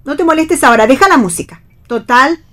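A woman's voice: high voice, lively intonation, brisk, fairly bright tone. A woman with an Argentine accent playing a scandalized, flustered mother of a grown daughter in a radio play reading, full of reproach. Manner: indignant, reproachful exclamations and questions.

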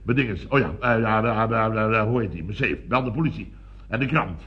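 An actor playing a blustering, self-important company boss in a radio comedy serial, sputtering, exclaiming and repeating himself pompously. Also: male voice, lowish voice, a little fast, close to an everyday voice. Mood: flustered. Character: blustering, excitable, self-important male boss of building firm. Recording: dull band-limited old radio recording.

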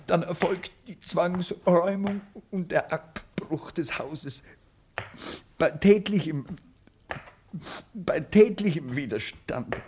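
Flustered polite office clerk in a radio play, stammers, pitch jumps about, noisy recording. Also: male voice, a little mellow. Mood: anxious, upset. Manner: hesitant, stammering, over-polite. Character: nervous, apologetic, well-meaning male government clerk. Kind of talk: German radio play acting.

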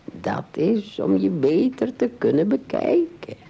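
A man's voice: high-pitched, wildly swooping pitch, takes it slowly, gravelly, husky, lo-fi sound, heavily acted. A man playing a sly, hungry big bad wolf in a fairy tale radio play, wheedling and drawn out. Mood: sweetly deceitful.

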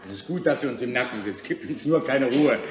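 A man's voice: mid-range voice, lively intonation, brisk, a crowd in the background, natural voice. A male actor in a radio play voicing an earnest, idealistic revolutionary who speaks encouragingly. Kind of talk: radio drama acting. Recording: echoey room.

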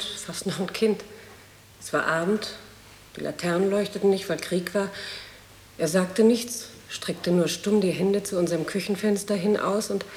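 A low-pitched, earnest woman played by an actress speaks with solemn, troubled deliberation. It is radio play acting, set in a very echoey space with a long reverb tail. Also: darkish tone, a little hiss or hum, natural voice. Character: earnest, troubled woman comforting a haunted man.

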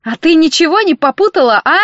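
A shrill, high-voiced ex-husband in an audiobook, acted out by a woman, drunk and hysterical. He whines and rants accusingly, full of spite, on a dry, slightly muffled recording. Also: animated, a little slow, fairly bright tone, no echo. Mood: furious, spiteful. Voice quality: shrill, squealing. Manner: ranting, accusing in outbursts.

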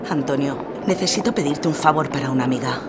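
A woman's voice: very deep voice, fast. Woman playing an earnest lady amid heavy noise. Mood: pleading, earnest. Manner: earnest, pleading. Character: earnest, compassionate upper-class wife and mother. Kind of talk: audio drama acting. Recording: noisy outdoor ambience, crowd behind.

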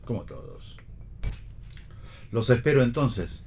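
An Argentine man playing a gruff, needling father in a radio play reading, with a husky voice. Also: medium pitch, lively intonation, takes it slowly, darkish tone, full character voice. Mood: reserved, probing. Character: gruff, needling father and husband, forgetful with names. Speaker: actor in a read-theatre radio play.

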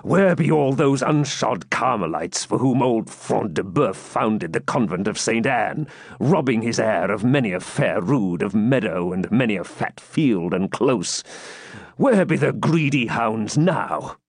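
Man voicing a stubborn dying baron, growling, fierce, lively pitch, dry sound. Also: unhurried, gravelly, husky, dry recording, full character voice. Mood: scornful rage. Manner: growling, defiant outbursts.

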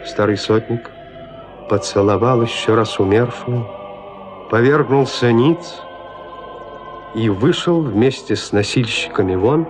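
A man narrating classic literature in an expressive, deliberate, theatrical manner. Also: medium pitch. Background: music.

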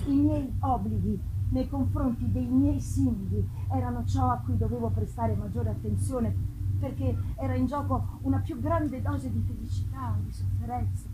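A woman playing a dying male scientist in a gothic novel reading, speaking with halting, solemn regret. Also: fairly high pitch, very noisy, lightly acted. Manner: halting, solemn deathbed speech. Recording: loud live guitar music behind.

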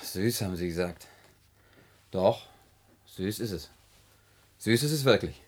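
An actor playing a scruffy, bashful middle-aged ex-navy drifter in a radio play, hesitant and folksy. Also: male voice, low voice, animated, unhurried, a little hiss or hum. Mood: wistful, hesitant.

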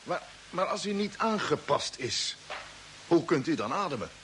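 A man acting in a radio play as a wary, earnest spaceship commander who asks probing questions in an expressive way. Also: a little high, moderate pace. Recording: hissy old radio broadcast, faint noise.

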